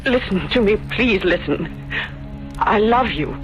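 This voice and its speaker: An actress playing a devoted but unfaithful wife, pleading in a halting, melodramatic 1970s radio drama style. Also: female voice, medium pitch, animated, natural voice. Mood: tender, pleading. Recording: muffled vintage radio recording with music.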